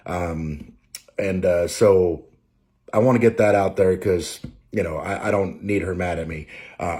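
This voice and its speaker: Man, 40s, Maine accent, low voice; unscripted emotional testimony, hesitant delivery. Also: mellow. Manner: halting, many fillers and restarts; emotional, close to tears. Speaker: trucker and preacher.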